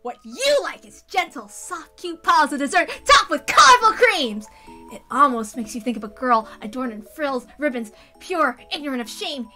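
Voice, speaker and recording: Lady doing a teasing schemer boy giving over the top speeches, bright high voice, visual novel read. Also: very animated, moderate pace, soft background music, exaggerated voice. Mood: accusing. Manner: taunting, grandiose, insistent speechmaking.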